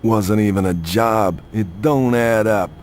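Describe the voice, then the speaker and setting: Slow, low-voiced mob henchman. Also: darkish tone, a little hiss or hum. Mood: wary, stern. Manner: clipped, matter-of-fact, tough. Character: terse, suspicious male mob henchman giving orders. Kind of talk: video game voice acting.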